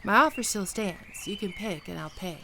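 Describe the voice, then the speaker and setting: A performer playing a gentle young best friend with a secret crush, speaking softly and hesitantly in an ASMR roleplay, comforting and tender. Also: mid-range voice, animated, moderate pace, vocal fry, slight background noise. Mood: shy, hopeful. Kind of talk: soft-spoken ASMR roleplay.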